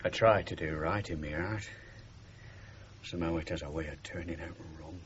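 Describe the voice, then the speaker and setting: An actor playing a sentimental, wounded middle-aged working-class father with a Northern English accent in a radio drama, speaking haltingly and emotionally. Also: deep voice, natural voice. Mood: regretful. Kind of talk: 1970s radio drama acting. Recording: muffled, noisy 1970s radio broadcast.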